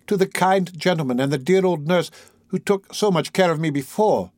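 A man's voice: fairly high pitch, normal speed, darkish tone, dry recording, clean studio sound, pitched above the performer's own voice, natural voice. A man voicing an eager, grateful young orphan boy in an audiobook, speaking earnestly. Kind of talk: audiobook character voice.

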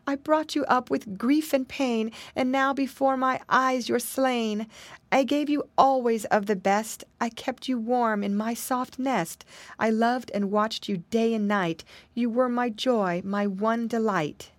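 A woman voicing a grieving mother duck, once a queen, who laments in a high, keening rhyme. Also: unhurried, no echo, natural voice.

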